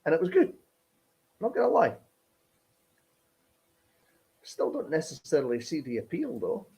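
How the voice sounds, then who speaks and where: A man trades playful, mock-sulky banter on a live stream. His voice sits a little high and swings up and down a lot. He talks loosely and casually. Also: wildly swooping pitch, brisk, gravelly, warm tone, husky. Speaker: stream co-host.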